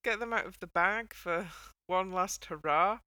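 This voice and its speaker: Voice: mid-range voice, a little slow, bright, forceful tone, clear, resonant tone, no room sound. A podcast host chatting casually on a panel, with a wry, wordy, mock-formal way of putting things. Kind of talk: casual panel chat about a TV dance show.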